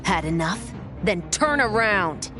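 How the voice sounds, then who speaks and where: A woman's voice: mid-range voice, expressive, slow, over music. A woman playing a tough, confident patrol captain in a video game, with a cool, clipped, taunting manner.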